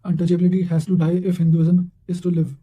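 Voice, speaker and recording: A man with a high voice reads quotations from revision notes. His tone is very soft-edged and dark, and his delivery stays measured and fairly flat, with an occasional stumble over a word. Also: rough recording. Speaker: narrator of study revision notes. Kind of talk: reading quotations aloud from notes.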